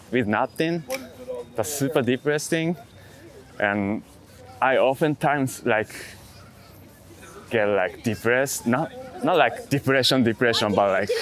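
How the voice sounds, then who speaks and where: A man talks in a casual, reflective interview at an average pitch. His phrasing is halting and broken into small fragments, and his English has a non-native turn to it. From outside the US. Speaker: street photographer being interviewed.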